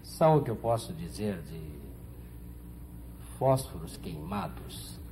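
A man's voice: fairly low pitch, expressive, slow pace, dark tone, slight background noise. An actor in his forties playing a shrewd, cautious, somewhat pompous doctor and schemer in a 1970s telenovela. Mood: calculating.

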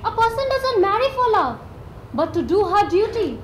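An actress playing a gentle, sensible elder sister who soothes and advises in a motherly way. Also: extremely high pitch, big pitch swings, moderate pace. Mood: earnest. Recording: echoey stage audio, faint hum.

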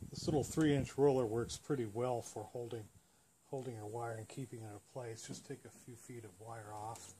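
A man's voice: mid-range voice, fairly even intonation, normal speed, crowd noise, low-quality recording. A man with an American accent gives a casual, plain-spoken how-to demonstration as a hobbyist host, explaining step by step. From United States. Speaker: host, radio hobbyist demonstrating a home-built antenna. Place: outdoors beside a parked car. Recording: clear background noise, outdoor ambience.

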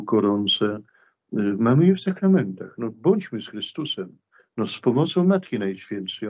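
A man's voice: mid-range voice, darkish tone. A middle-aged man, a priest, speaking earnestly about faith over a video call, with frequent fillers and restarts. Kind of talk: religious talk in a video interview. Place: small room indoors. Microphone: video call webcam.

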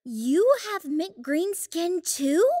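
A woman's voice: extremely high pitch, big pitch swings, slow pace, mellow, exaggerated voice. A woman playing an eager, naive, gushing teenage monster girl in an audio drama. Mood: surprised, questioning.

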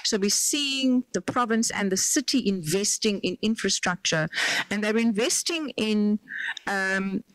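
Animated older woman on a panel. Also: mid-range voice, measured pace, dry recording, low-quality recording. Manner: animated, gesturing, hesitant restarts. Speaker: panelist, provincial official. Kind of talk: panel answer. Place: small conference room on a panel stage. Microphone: handheld microphone.

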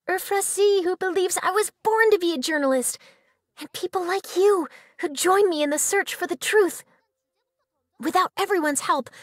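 A bubbly, determined young newspaper reporter from a video game. Her voice sits high and swings widely with every emphatic, nosy turn of phrase. The sound is dry and close, with a chatty, theatrical delivery. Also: dry recording. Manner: perky, dramatic, emphatic, chatty. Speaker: game character voice actor. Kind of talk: animated scripted game character voice lines.

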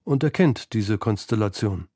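A man's voice: on the low side, expressive, normal speed, mellow, dry recording, clean studio sound. A man narrating a scripted radio feature in an articulate, composed way. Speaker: documentary narrator. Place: studio.

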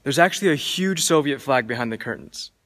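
A man's voice: medium pitch, expressive, brisk. A young man guiding a campus tour as a vlogger, in upbeat scripted narration, recorded on a phone held at arm's length. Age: young adult. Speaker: student vlogger, tour guide. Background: background music.

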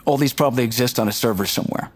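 An actor playing an eager, cocky, talkative tech strategist in a naturalistic audio drama. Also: male voice, medium pitch, very fast, natural voice. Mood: confident. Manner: talkative, boastful, informal. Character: eager, cocky, nerdy male tech strategist, chatty roommate.

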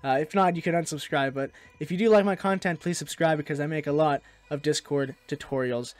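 A man hosting a software tutorial, narrating in a casual, conversational way. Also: on the high side, a little fast, no room sound. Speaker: tutorial host.

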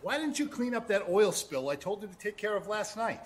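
Actor as a high-pitched, bullying male boss. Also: big pitch swings, brisk, a little hiss or hum. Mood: angry, scornful. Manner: sarcastic, browbeating. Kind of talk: audio drama acting.

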